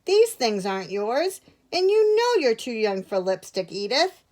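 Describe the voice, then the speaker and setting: A woman's voice: on the low side, expressive, moderate pace. A woman voicing a stern, solemn adult male bear who scolds sharply in a children's storybook. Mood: stern, scolding.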